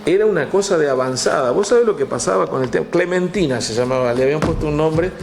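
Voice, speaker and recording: A man with an Argentine accent, telling history in a relaxed chat, with many "este" fillers, reading out figures from a text. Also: on the high side, fast, creaky, echoey room, soft background music. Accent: Argentine Spanish. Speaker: guest storyteller. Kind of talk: conversational history talk.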